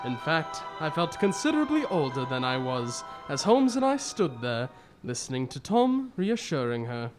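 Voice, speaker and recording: A man playing a genial, expressive old-school gentleman. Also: a little high, moderate pace, hardly any pauses, soft background music. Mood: reminiscing. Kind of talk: radio drama acting and narration.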